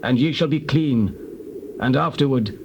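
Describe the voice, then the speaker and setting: An actor playing a solemn male priest who declares the law in measured, formal phrases in a scripture drama. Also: mid-range voice, dull audio, a little hiss or hum, natural voice. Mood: solemn instruction.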